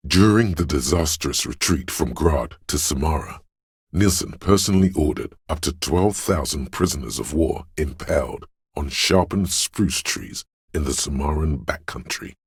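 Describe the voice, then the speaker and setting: A man voicing a learned inner voice in a video game, reciting obscure facts in a measured, lecturing, gravelly way. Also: extremely low pitch, expressive, dry recording, studio quality, full character voice. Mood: measured, erudite. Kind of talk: video game scripted narration.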